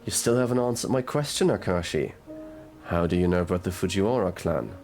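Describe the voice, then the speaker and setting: A man playing a gruff, sardonic detective in an audio roleplay drama, drawling and murmuring through his pauses. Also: sits low, lively intonation, a little mellow. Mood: probing. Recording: music behind the voice.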